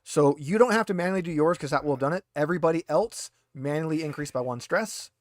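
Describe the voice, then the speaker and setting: Male game master, fairly high voice, close dry sound, informal rules explanations. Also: fast talker, dry recording. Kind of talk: narrating a tabletop game, casual.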